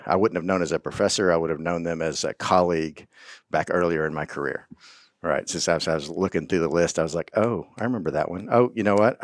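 Deep-voiced guy hosting a podcast, chatty, laughs a lot, dry close sound. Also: dry recording. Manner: chatty, laughs easily, restarts often. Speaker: podcast host. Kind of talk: casual interview chat.

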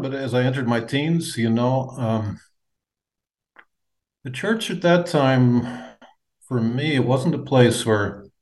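An American man in his fifties, giving a reflective faith testimony as an interview guest, measured and hesitant with frequent fillers. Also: lowish voice, low-quality recording. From United States. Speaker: guest, aid organization leader.

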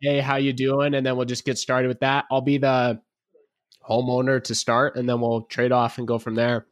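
Man, podcast host, sick and slightly rough, laid-back drawl, medium pitch, dry close sound. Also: monotone, normal speed, no room sound. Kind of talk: casual chat. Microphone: remote call, each on own mic.

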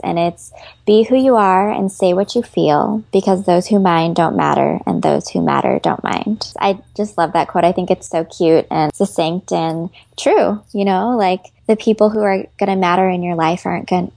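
A woman's voice: fairly low pitch, creaky, a little mellow, no room sound. A woman from Chicago, speaking as an actress guest in a casual interview, chatty and laughing often, with lots of "like" and "you know". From Chicago, US Midwest.

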